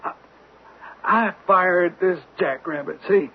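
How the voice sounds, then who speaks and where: A man's voice: high voice, slow pace, husky, exaggerated voice. A man in a radio drama playing a folksy, rambling American detective who poses as an affable hunter. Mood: rambling, uneasy. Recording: thin phone-like old radio, noisy.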